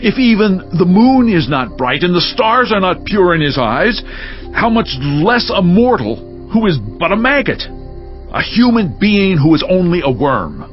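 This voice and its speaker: An actor playing a solemn, declamatory counsellor who preaches God's majesty in a dramatized scripture reading. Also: male voice, high-pitched, very animated, unhurried. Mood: solemn, admonishing. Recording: muffled audio under music.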